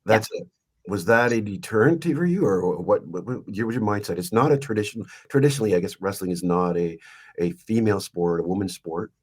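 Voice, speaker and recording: A man's voice: lowish voice, fairly quick, breathy edge. A man hosting a podcast interview, talking in a hesitant way with many fillers and self-corrections. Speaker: podcast host. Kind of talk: interview, reading an introduction.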